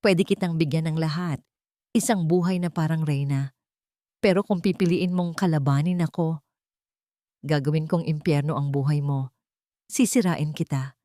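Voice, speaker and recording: A woman's voice: sits low, fairly even intonation, normal speed, long pauses, dark tone, no echo, studio quality, close to an everyday voice. Woman voicing a manipulative, menacing rich husband. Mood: cold, threatening. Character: manipulative, menacing rich husband, coaxing then coldly threatening.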